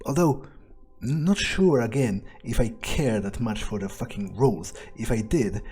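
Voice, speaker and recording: A man's voice: fairly low pitch, mellow, natural voice. A man playing a cynical, menacing vampire who muses aloud in a brooding, profane way, as in-character game roleplay. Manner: brooding, hesitant, profane musing. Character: cynical, menacing male vampire talking through his thoughts. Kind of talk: in-character gameplay roleplay monologue.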